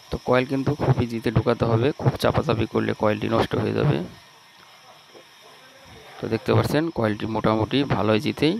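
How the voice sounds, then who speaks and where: A man's voice: fairly low pitch, gravelly, slight background noise, rough recording. A man with a Bangladeshi accent narrating a step-by-step repair tutorial in a plain, instructional way. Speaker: electronics repair channel host.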